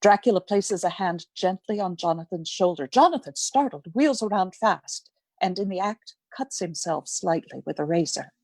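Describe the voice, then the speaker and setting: A woman reading scripted narration for a radio play in a measured storytelling manner. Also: fairly low pitch, animated, darkish tone, dry recording.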